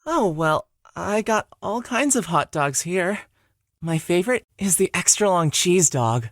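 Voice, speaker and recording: A woman's voice: lowish voice, very animated, measured pace, no echo, clean studio sound. A woman voicing a timid, friendly young male cashier in a visual novel, shy and hesitant. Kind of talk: visual novel character acting.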